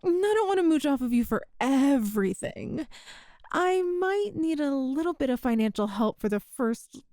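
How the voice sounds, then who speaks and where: High, mellow, flustered time-traveler played by a woman. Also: big pitch swings, normal speed, dry recording, clean studio sound. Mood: surprised, grateful. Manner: hesitant, earnest, self-deprecating. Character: flustered, apologetic, grateful young female time traveler from future. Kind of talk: ASMR roleplay, one-sided conversation with the listener.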